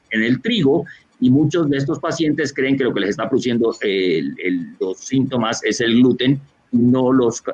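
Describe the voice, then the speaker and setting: A gastroenterologist from Colombia with a Barranquilla accent, explaining a medical answer over a video call, with frequent fillers and restarts. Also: male voice, medium pitch, slightly rough. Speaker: gastroenterologist lecturer. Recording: dull lo-fi video call audio.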